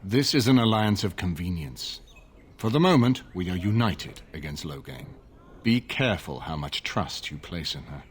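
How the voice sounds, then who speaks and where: Older fantasy game lord: very low voice, lively inflection, deliberate, shrewd, authoritative. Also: male voice, slight background noise. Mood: cautious, shrewd. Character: seasoned older nobleman, shrewd, paternal royalist lord. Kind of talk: dramatic fantasy video game acting.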